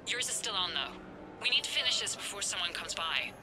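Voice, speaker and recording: Fast-talking, nervy officer played by a woman. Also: mid-range voice, very animated, noisy background, lightly acted. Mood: urgent. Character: nervy female junior officer whispering plans over comms. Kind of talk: video game cutscene dialogue. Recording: clear music behind voice.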